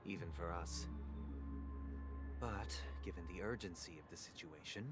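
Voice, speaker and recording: An actor playing a solemn, refined and pious young leader in a video game, speaking formally and gravely. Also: male voice, deep voice, normal speed, music in the background, close to an everyday voice. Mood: composed, weary.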